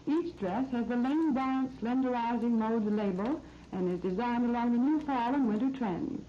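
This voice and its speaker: High-voiced American woman, vintage radio recording. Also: moderate pace. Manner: polished, promotional reading. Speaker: radio shopping presenter. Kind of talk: 1930s radio advertising, scripted narration. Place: radio studio. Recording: muffled, noisy 1939 radio disc recording.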